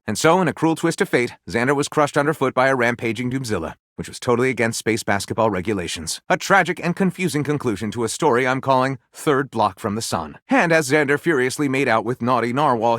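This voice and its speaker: A man's voice: medium pitch, a bit bright, no room sound, studio quality, lightly acted. A man voicing a theatrical, wry storyteller and snide critic in a video game, mock-grandiose and sardonic, as if narrating a storybook. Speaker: voice actor.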